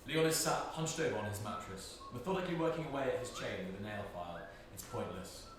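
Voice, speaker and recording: A man's voice: on the low side, expressive, quick pace. A man giving scripted narration, reading out the stage directions of a play. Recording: echoey room, distant mic, faint hiss.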